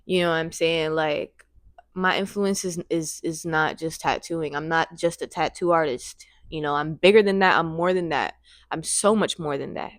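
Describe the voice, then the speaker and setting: Woman in her twenties, low-ish voice, dry close sound, rambling excited vlog talk into a handheld mic. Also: normal speed, no echo. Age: 20s. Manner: excited, rambling, repeats phrases. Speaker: vlogger. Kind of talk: casual vlog monologue. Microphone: handheld microphone.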